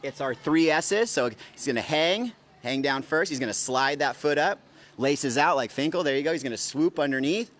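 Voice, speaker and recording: A man's voice: a little high, lively intonation, moderate pace, fairly bright tone. A man speaking as a fitness coach, explaining things informally and instructively in a live interview. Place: gym, on location. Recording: faint noise of a gym.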